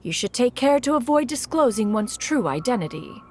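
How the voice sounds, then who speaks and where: A woman's voice: fairly high pitch, very animated, moderate pace, a little mellow, slight background noise. A woman voicing an ancient crane spirit in a video game, speaking in an archaic, formal and stern way. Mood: composed. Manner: archaic, formal, refers to herself as one.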